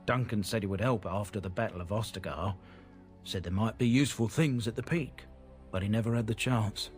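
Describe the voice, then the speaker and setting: A man's voice: fairly low pitch, brisk, close to an everyday voice. A male actor playing an earnest merchant who pleads for help in a fantasy video game, with a folksy way of talking. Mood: earnest pleading. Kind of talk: fantasy game dialogue acting. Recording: game dialogue over background music.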